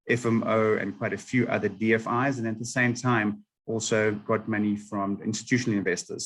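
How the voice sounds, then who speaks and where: A South African man presenting slides to a webinar audience, steady and explanatory with frequent ums. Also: lowish voice, husky, low-quality recording. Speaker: webinar panelist.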